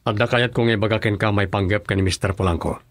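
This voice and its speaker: Firm, earnest Ilocano governor for radio serial, male actor: low voice, dry studio sound. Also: steady tone, fast, no echo, natural voice. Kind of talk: Ilocano radio drama acting.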